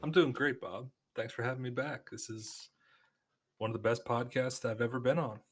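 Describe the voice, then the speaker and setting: A man with a mid-range voice guests on a relaxed podcast. He jokes about himself in an easygoing way. His delivery is casual and conversational. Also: mid-range voice, moderate pace, husky. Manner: self-deprecating and joking.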